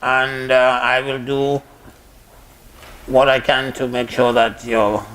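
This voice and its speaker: A man's voice: mid-range voice, level tone, slow pace, fairly bright tone, a little hiss or hum, low-quality recording. A man chairing a question session, courteous and lightly joking. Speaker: moderator.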